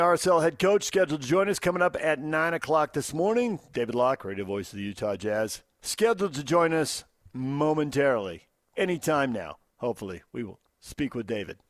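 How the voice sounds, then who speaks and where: A man's voice: fairly high pitch, expressive, fairly quick, no room sound, studio quality. A man working as a sports radio host, moving between conversational talk and emphatic station announcing.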